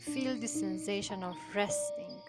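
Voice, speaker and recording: A woman leading a guided meditation in a soothing, gentle manner, with drawn-out phrases and long pauses. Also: mid-range voice. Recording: voice over prominent background music. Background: meditation music.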